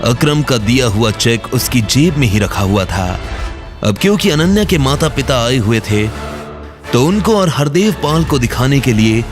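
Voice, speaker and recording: A male narrator reads a scripted audio drama story. His voice sits in a middle register, with soft music running underneath. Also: medium pitch, expressive, measured pace.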